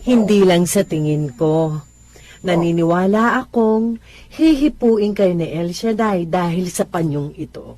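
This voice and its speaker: Gentle, devout elderly mother. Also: on the low side, expressive, measured pace. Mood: earnest, faithful. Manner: pious, reassuring. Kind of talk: Filipino religious radio drama acting.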